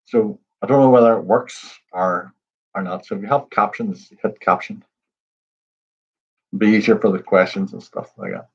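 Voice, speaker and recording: A man's voice: on the low side, lively intonation, moderate pace, breathy edge. A man hosting a pub quiz livestream, chatting and greeting viewers by name. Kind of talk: casual livestream chat. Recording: dull lo-fi stream audio.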